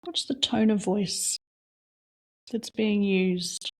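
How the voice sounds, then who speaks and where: A woman leading a guided reflection exercise, asking slow, soft questions with long pauses between them. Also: mid-range voice. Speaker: meditation guide.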